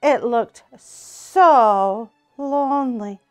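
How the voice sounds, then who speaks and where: Slow, expressive storybook-reading woman. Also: fairly high pitch, clean tone, faint music behind. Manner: slow, expressive read-aloud with character voices. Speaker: storytime reader. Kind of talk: reading a picture book aloud. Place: small indoor room.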